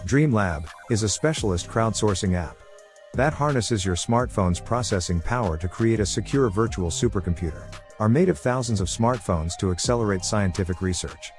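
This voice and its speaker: A man's voice: lowish voice, normal speed. A man reading scripted promotional narration as a video narrator. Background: music.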